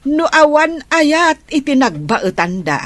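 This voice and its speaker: A woman's voice: sits high, very animated, takes it slowly, fairly bright tone, heavily acted. A woman playing a principled, scolding older wife in a radio drama, with an Ilocano accent.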